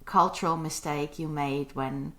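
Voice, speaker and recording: A woman's voice: low voice, steady tone, a little slow, a bit bright. A woman hosting a podcast who asks her guest questions in a friendly, conversational way. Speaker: podcast host. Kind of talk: casual podcast interview.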